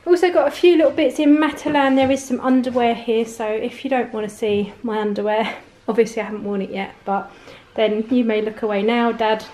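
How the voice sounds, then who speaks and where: A woman's voice: fairly high pitch, fairly even intonation, a little fast, few pauses, mellow, a little hiss or hum, low-quality recording. A woman, chatting casually through a shopping haul from home, with frequent laughs. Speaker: vlogger. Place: home conservatory, indoors.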